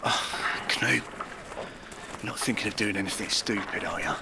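An actor playing a cautious railway worker who reasons in a hushed, placating way in an audio drama. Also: male voice, fairly low pitch, measured pace, creaky, noisy background. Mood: worried. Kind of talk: naturalistic audio drama dialogue.

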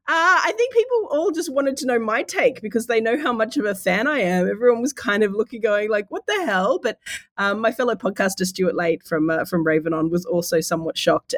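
A woman with a head cold that makes her husky, telling stories animatedly and laughing often in a podcast chat. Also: medium pitch, dry recording, clean studio sound. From Australia. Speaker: podcast guest.

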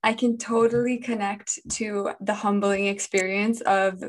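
A woman's voice: medium pitch, fairly even intonation, measured pace. A young woman from Indonesia, a medical trainee, talking earnestly and fluently about her experiences in an interview. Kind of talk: personal interview talk.

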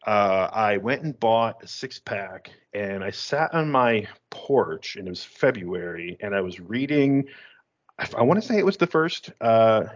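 A man's voice: lowish voice, lively intonation, a little slow. A man in his thirties from Western New York, co-hosting a podcast and telling a rambling story with frequent laughs and restarts. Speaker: podcast co-host. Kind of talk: casual chat. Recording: dull lo-fi audio.

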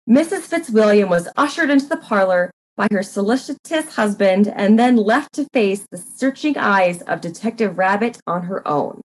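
A woman's voice: mid-range voice, lively intonation, normal speed, few pauses, poor audio. A woman narrator reading a scripted story.

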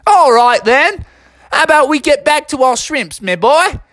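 A friendly storybook kangaroo, played by a man with a put-on Australian accent. He is laid-back and chummy, his voice pitched up and bouncing around in a lively, folksy way. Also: unhurried, no echo, studio quality, exaggerated voice. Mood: jovial.

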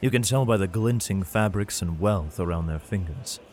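A man playing a weary father who narrates a science fiction audio drama in the first person, grave and measured. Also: deep voice, a little mellow, slight background noise, natural voice. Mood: weary, tense. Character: weary father fleeing with his son through a besieged hive city. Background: music.

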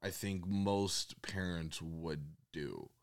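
Man, young adult, very deep voice, smooth, rambling, filler-heavy, casual. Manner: rambling, many fillers, quick to laugh. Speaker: family guest. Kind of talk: casual family chat.

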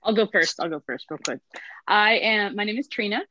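A woman's voice: mid-range voice, pushed and bright, dry recording, muffled recording. Joking woman in her fifties, dry sound. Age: 50s. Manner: quick, joking, laughing. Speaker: panel guest. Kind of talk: casual panel chat.

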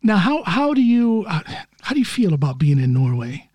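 High-voiced man hosting a podcast. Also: big pitch swings, moderate pace, a little mellow, slightly rough, no room sound. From United States. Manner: chatty, laughs often, self-deprecating. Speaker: podcast host. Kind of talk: casual conversational interview.

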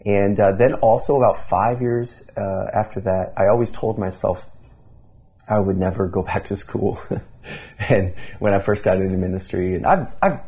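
A man in his thirties from Indiana speaks as a pastor being interviewed. His voice sits low with a gravelly edge and a husky, mellow tone. He talks earnestly, restarting his sentences often. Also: steady tone, moderate pace. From Indiana, USA. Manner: earnest, many restarts and fillers. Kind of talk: conversational interview storytelling.

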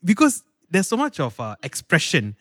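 Male podcast host, baritone, very lively intonation, dry close recording, casual and playful. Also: normal speed, no room sound.

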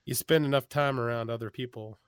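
A man's voice: medium pitch, fairly even intonation, a little fast, clear, resonant tone, no echo, clean studio sound. A man with a Texas accent, chatting casually as a podcast guest, often restarting his sentences. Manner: rambling, frequent restarts, chuckles. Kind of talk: casual chat.

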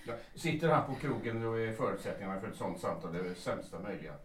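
A man's voice: on the low side, lively intonation, a little hiss or hum, lightly acted. A man acting a composed, insistent lawyer in his late fifties in a period radio drama, formal and paternal. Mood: firm, probing. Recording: echoey room sound.

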